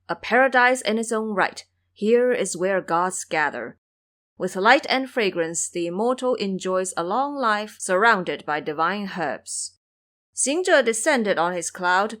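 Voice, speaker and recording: A woman's voice: medium pitch, fairly bright tone. A woman giving formal, scripted storytelling narration for a fantasy audio drama.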